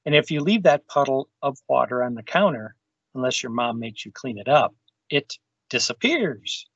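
A man's voice: medium pitch, expressive, normal speed, a bit bright, breathy edge, no room sound. A man reading a children's science book aloud over a video call. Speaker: storytime reader. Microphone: video call microphone. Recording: dull, lo-fi video call audio.

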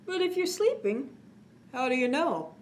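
A woman voicing a kindly, playful grandfather in a children's storybook, gentle and reassuring. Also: high-pitched, sing-song, a little slow, slight background noise, pitched above the performer's own voice. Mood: gentle coaxing. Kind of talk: children's storybook read aloud.

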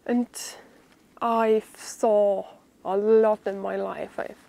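Slow-talking young German-accented woman. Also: mid-range voice, level tone, creaky, dark tone. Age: young adult. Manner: halting, with pauses mid-sentence. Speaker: bushcraft vlogger. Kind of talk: unscripted vlog talk to camera. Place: outdoors in a forest.

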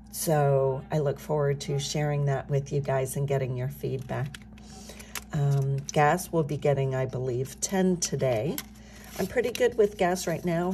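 Low-voiced middle-aged woman, scratchy just-woke-up voice, talking softly at her desk, faint room hum. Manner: soft-spoken, talking softly. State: slightly scratchy morning voice. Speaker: budgeting channel host. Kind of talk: casual chat while stuffing cash envelopes. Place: home desk, indoors.

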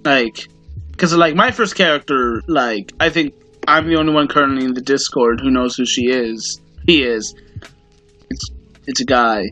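A man's voice: medium pitch, moderate pace, bright, forceful tone, faint music behind. A man hosting a casual podcast, rambling, swearing offhand and tossing in goofy asides. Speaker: podcast host.

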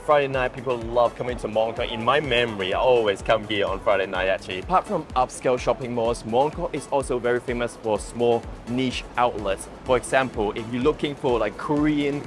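A young man from Hong Kong, a native Cantonese speaker, narrating a relaxed travel vlog outdoors on busy city streets. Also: mid-range voice, brisk, hardly any pauses, over music. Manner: relaxed, explanatory, chatty. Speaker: travel vlogger.

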